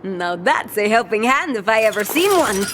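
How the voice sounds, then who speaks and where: A woman's voice: medium pitch, big pitch swings, normal speed, fairly bright tone, slight background noise. A woman playing a bossy, treasure-obsessed pirate captain in a cartoon adventure, theatrical and full of salty pirate slang. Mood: eager. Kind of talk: animated cartoon adventure storytelling.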